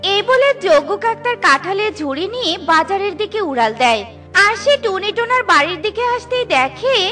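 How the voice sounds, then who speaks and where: A woman's voice: extremely high pitch, big pitch swings, moderate pace, sharp tone, faint music behind, heavily acted. A woman reading scripted storybook narration for a children's cartoon.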